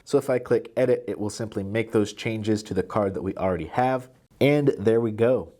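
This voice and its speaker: A man's voice: on the low side, normal speed, mellow. A man presenting a product tutorial, explaining things step by step in a plain-spoken, casual way.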